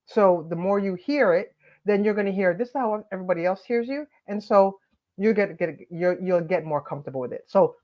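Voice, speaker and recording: A woman's voice: fairly low pitch, lo-fi sound. A woman hosting a live stream, giving upbeat coaching tips and greeting viewers by name, her voice nasal and stuffed up from allergies. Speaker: host of a daily live stream on confidence.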